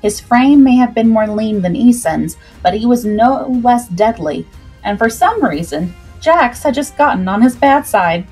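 A young woman reading a scripted story aloud in a dramatic, expressive way. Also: fairly high pitch, measured pace, husky. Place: indoors at home, on webcam. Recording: music behind the voice.

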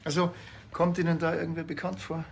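A man's voice: a little high, completely flat delivery, brisk, creaky, breathy edge. An actor playing a wry, sardonic village policeman with a Bavarian accent, speaking dryly in a TV crime comedy. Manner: dry, laconic, sarcastic.

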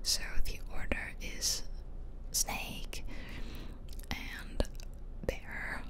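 Female ASMR gamer. Soft whisper throughout, slow delivery, casual and rambling, right up on the mic. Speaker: gaming ASMR creator. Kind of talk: whispered ASMR gameplay commentary. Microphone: close mic for ear-to-ear whispering.

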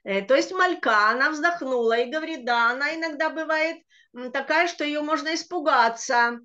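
Bright-voiced woman teaching online. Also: high voice. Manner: patient, slow and deliberate when sounding out words. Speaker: Hebrew reading teacher. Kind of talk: online language lesson. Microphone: computer mic on a video call. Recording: dull lo-fi video call audio.

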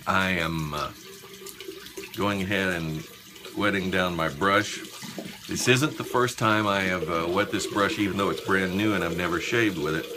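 A man with a notably deep voice chats in an unhurried, halting way, as if half busy with something. He speaks casually to his viewers from a small bathroom. There is light background noise of running water. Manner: unhurried, halting, talking while busy with a task. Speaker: shaving vlogger. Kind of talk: casual chat to viewers while shaving.

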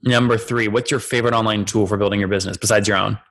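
A man hosting an interview podcast, asking questions in a brisk, direct, businesslike way. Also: fairly low pitch, steady tone, fairly bright tone.

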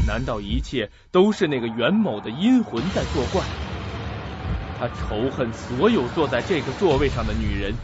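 A man's voice: medium pitch, muffled recording. A man narrating a suspense mystery radio drama in a dramatic, scripted style.

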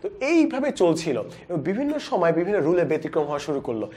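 A man in his twenties with a Bangladeshi accent, presenting a book review in an animated, explanatory way with English words mixed in. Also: a little high, fast. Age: 20s. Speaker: book reviewer, educational video host. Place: indoor studio with plain backdrop. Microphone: lapel mic.

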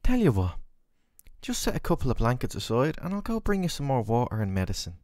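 A man's voice: mid-range voice, huge pitch swings, quick pace, a little mellow, no echo, clean studio sound. A man playing a worried, tender boyfriend in an audio roleplay, speaking in a soothing, earnest, affectionate way. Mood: gentle, caring. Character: worried, tender, doting boyfriend caring for his sick partner. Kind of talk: scripted boyfriend roleplay ASMR.

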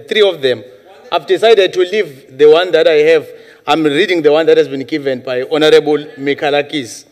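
A middle-aged man presiding over a parliamentary session, giving rulings in a halting, repetitive way. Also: fairly high pitch. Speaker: presiding chairperson. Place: large parliament chamber, indoors. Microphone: desk microphone at presiding chair. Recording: reverberant parliament chamber.

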